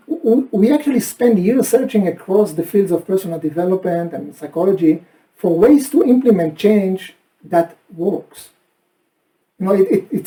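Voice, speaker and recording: A man's voice: high voice, dark tone, distant mic, poor audio. A man with a heavy Israeli accent co-presenting a training session, who often repeats words and restarts his sentences. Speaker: co-host and trainer. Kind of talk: presentation chat.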